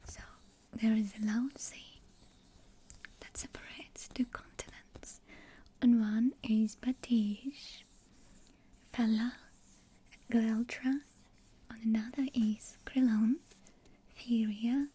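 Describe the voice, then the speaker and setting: A woman in her twenties, a native Russian speaker, whispering in a soft, meandering ASMR ramble close to a desktop condenser mic. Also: a little high, breathy edge. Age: 20s. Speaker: ASMR creator. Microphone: desktop USB condenser mic.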